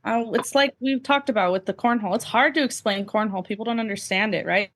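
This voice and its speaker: A woman's voice: medium pitch, fast, fairly bright tone. A woman chatting casually as a guest, thoughtful and explanatory in how she talks. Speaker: podcast guest, amateur cornhole player. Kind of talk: casual chat.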